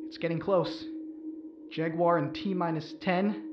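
An actor playing an anxious, loyal young rebel crew member in a sci-fi audio drama. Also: male voice, a little high, fairly even intonation, a little slow, echoey room, lo-fi sound, over music, close to an everyday voice. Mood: tense, reporting.

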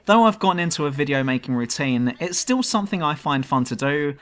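Lively southern English man, fairly high. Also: fairly quick. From southern England. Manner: dry, self-mocking. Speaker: YouTube gaming channel host. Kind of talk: scripted commentary over gameplay.

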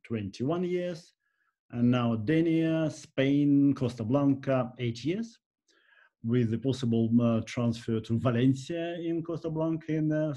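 An older man in his sixties from Russia, speaking English with a Russian accent, giving an unscripted lesson to a webcam from his home and gesturing freely. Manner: animated, gesturing, lively swings in pitch. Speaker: insurance specialist, channel host. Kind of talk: unscripted talk to camera. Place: home room, indoors. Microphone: webcam at desk.

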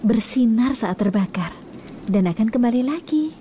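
A woman in her thirties, a mother talking gently and encouragingly to a small child with simple questions. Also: a little high, lively intonation, normal speed, a little hiss or hum, natural voice.

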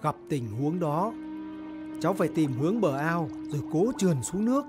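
A woman voicing a kindly older pond creature in a cartoon, advising and gently chiding. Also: low-pitched, expressive, normal speed. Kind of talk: animated film voice acting. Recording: music under the voice.

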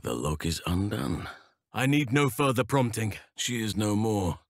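A man playing a wise, gentle druid in a video game, speaking in a measured, formal and earnest way. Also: fairly low pitch, animated, no echo, clean studio sound. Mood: solemn, thoughtful. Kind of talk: video game character acting.

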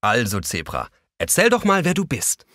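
A man narrating a children's audio play, expressive and speaking directly to young listeners. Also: medium pitch, moderate pace, no room sound, studio quality.

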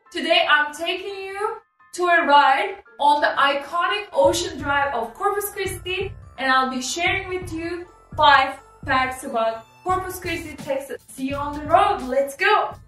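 High-voiced Turkish-accented woman in her forties. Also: unhurried, bright, forceful tone, roomy sound, recorded from a distance, faint music behind. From Turkey. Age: 40s. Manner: upbeat and chatty, addresses viewers as folks. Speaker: vlogger. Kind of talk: casual vlog narration. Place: room in a home with a motorcycle.

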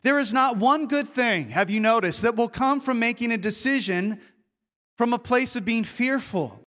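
High-voiced preaching man, echoing hall. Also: expressive, moderate pace, a bit bright. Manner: earnest preaching with rhetorical questions. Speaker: pastor. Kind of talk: sermon. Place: church sanctuary.

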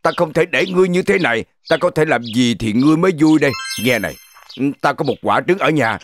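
A man's voice: on the high side, animated, quick pace, fairly bright tone, a little hiss or hum. A man voicing a greedy, grumbling wolf in a children's cartoon, drawing out his words. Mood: grumbling, reluctant.

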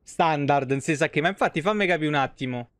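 A man's voice: a little high, fast talker, dry recording, studio quality. A man in his thirties doing casual live game commentary and chatting with viewers, informal and swearing freely. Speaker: live streamer.

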